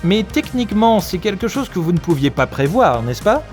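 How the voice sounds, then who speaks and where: An actor playing a cocky, sardonic detective with a wry, self-assured manner in a comedic audio drama. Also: male voice, high-pitched, very animated, moderate pace, a little mellow, soft background music. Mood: accusing.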